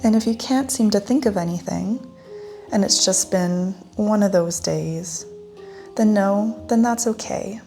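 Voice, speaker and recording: A woman leading a guided meditation in a gentle, soothing manner with long pauses. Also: medium pitch, vocal fry. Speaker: meditation guide, yoga teacher. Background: soft instrumental music.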